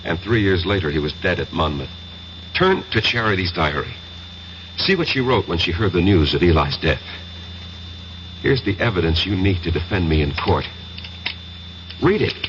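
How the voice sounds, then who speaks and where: An actor playing a haunted professor who confesses a past life as a soldier, in an intense, theatrical 1970s radio drama style. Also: male voice, low voice, lively intonation, normal speed, long pauses. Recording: muffled, noisy old radio broadcast with hum.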